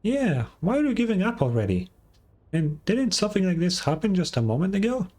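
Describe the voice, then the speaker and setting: A woman voicing a perky, bossy little fairy companion in an anime game, squeaky and exclamatory. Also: very animated, a little fast, a little mellow. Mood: uneasy, puzzled. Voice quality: squeaky, childlike. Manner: refers to self in third person, exclamatory. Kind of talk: anime video game voice acting.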